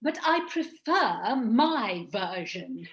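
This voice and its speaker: A woman's voice: fairly high pitch, big pitch swings, very slow, full character voice. A woman playing a haughty, defiant opera prima donna in an amateur radio play.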